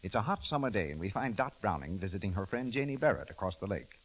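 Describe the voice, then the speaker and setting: A man announces in 1930s radio style. His voice is on the low side and moves expressively through polished scripted copy. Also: animated, fast, a little hiss or hum.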